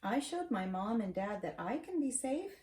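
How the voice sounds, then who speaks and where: Storyteller: middle-aged woman, soft-edged mellow tone, encouraging and chatty read-aloud for kids, roomy sound. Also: fairly high pitch, big pitch swings, normal speed. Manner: encouraging, asks questions and checks understanding with "right?". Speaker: storyteller reading to grandchild. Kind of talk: children's picture-book read-aloud with chatty asides. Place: wood-shelved home study, indoors. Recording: roomy echo, faint noise, clicks.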